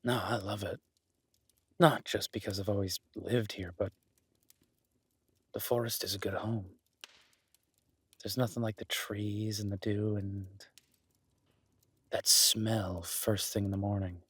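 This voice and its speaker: Male actor as kindly forest cabin dweller, low-pitched, even intonation, unhurried, wryly reassuring, audio drama roleplay. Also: husky, no room sound. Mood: easygoing, warm. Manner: soft-spoken, unhurried, reassuring, wryly joking. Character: gruff-kind woodsman host, gently teasing, protective cabin dweller. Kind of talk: roleplay audio drama, intimate one-sided conversation.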